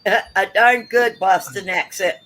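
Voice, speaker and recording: A woman's voice: mid-range voice, unhurried, a crowd in the background. A woman in a casual chat, talking in a hesitant, playful way.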